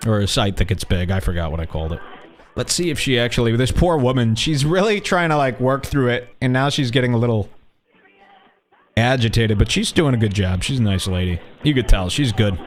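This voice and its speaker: A man hosting a comedy radio show, setting up a prank call with mocking commentary and drawn-out emphasis on words. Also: medium pitch, animated, a little hiss or hum.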